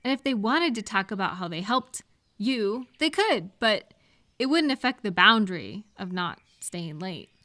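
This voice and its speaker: A woman's voice: mid-range voice, sing-song, unhurried, pushed and bright. A woman speaking conversationally to one listener in a supportive advice roleplay, reassuring and explanatory. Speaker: audio roleplay performer giving supportive advice.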